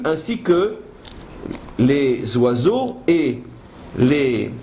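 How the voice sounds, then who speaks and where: Male rabbi lecturer, fairly high voice, emphatic teacher's delivery with rhetorical questions, live class recording with light background noise. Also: darkish tone. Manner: switches between French and quoted Hebrew verses. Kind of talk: religious lecture with commentary on scripture.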